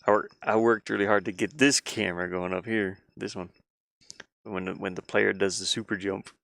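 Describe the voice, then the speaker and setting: A man chatting casually on a live stream as he works on a game, halting and muttering his thoughts aloud. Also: lowish voice, steady tone, vocal fry, fairly bright tone, no echo, clean studio sound. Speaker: hobby game developer streaming his work. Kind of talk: casual live-stream chat while working.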